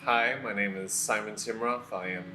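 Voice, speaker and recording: A man in his mid-twenties giving a halting personal account, pausing mid-sentence. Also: on the low side, fairly even intonation, pushed and bright. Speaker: interviewee, animator. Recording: music behind the voice. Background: plucked guitar music.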